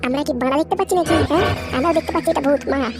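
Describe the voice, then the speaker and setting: A woman's voice: high voice, racing, natural voice. A woman voicing a teasing, foul-mouthed young friend in a comedy cartoon, slangy, with an Indian Bengali accent. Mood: exasperated. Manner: needling, slangy. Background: loud music.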